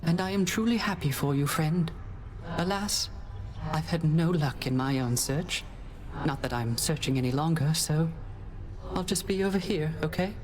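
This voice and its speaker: A man voicing a gentle, melancholy court musician in a video game, mild, wistful and resigned. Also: fairly high pitch, moderate pace, lightly acted. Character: gentle, melancholy male court musician who lost his muse.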